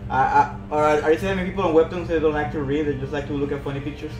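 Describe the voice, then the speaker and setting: A man's voice: a little high, little pitch movement, racing, highs cut off. Young man bantering in a roomy space. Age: young adult. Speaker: livestream co-host, comic creator. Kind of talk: casual bantering livestream chat. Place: indoor room, livestream at desk. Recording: roomy echo, faint music behind. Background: faint music.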